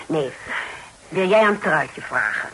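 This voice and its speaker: Motherly churchy aunt, kindly but brisk, old radio drama with some noise. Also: low-pitched, animated, a bit bright, exaggerated voice, poor audio. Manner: kindly, brisk, full of hums. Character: pious, motherly, industrious aunt of old times. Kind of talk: Dutch radio play acting.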